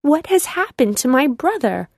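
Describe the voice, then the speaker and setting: Little storybook girl, voiced by a female narrator: earnest and pleading, raised pitch, soft tone. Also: big pitch swings, moderate pace, no room sound, studio quality. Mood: alarmed.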